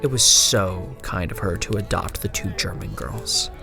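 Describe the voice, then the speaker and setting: A man performing a frontier gunslinger's first-person horror narration, in a hushed, deliberate delivery with drawn-out phrases and swelling emphasis. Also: low-pitched, gravelly. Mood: wistful, measured. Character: woman frontier gunslinger recounting her haunted, lost memories. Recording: music bed under the narration. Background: music.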